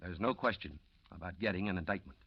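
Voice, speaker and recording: A man's voice: deep voice, fast, breathy edge. A man acting a blunt, cynical police inspector who questions insistently in 1940s radio style. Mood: grim, certain. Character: hard-nosed, cynical male police inspector. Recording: dull old radio recording.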